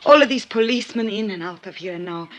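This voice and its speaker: A woman's voice: mid-range voice, expressive, fast talker, fairly bright tone, close to an everyday voice. A woman playing an anxious, deferential, worn-out housekeeper in 1950s radio drama, speaking hesitantly and pleadingly. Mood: anxious, reluctant. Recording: noisy vintage radio recording.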